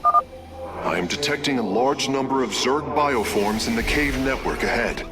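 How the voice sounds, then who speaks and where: Lively, formal alien engineer. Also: male voice, medium pitch, unhurried. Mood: businesslike reporting. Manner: precise, formal, technical reporting. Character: polite, studious male alien engineer, eager and deferential. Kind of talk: dramatic video game character acting. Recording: voice under loud game music.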